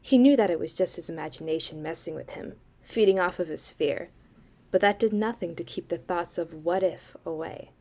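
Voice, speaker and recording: A young woman acting as a sardonic, eerie campfire storyteller in a student audio drama, with dry, deliberate delivery. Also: lowish voice, mellow. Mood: ominous, measured. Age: young adult.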